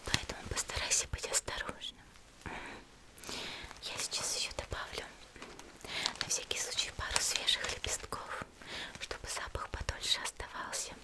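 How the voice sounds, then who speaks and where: A woman speaks entirely in a soft, unvoiced whisper. She plays a caring young healer in an ASMR roleplay, addressing the listener directly. Her delivery is gentle, soothing and reassuring. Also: moderate pace, long pauses. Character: gentle caring young female demon-slayer healer tending a patient.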